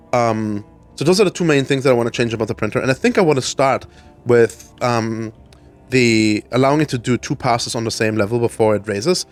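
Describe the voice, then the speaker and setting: A man from Germany, in his thirties, hosting a let's play and explaining his build out loud, his voice raspy from a cold, with plenty of ums and restarts as he thinks. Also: mid-range voice. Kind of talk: casual gameplay walkthrough.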